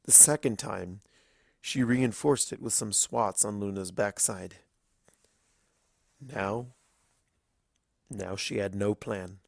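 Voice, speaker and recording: A man narrating dramatic fiction in a grave, deliberate storytelling style, with weighty pauses. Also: fairly low pitch, creaky, no room sound, clean studio sound.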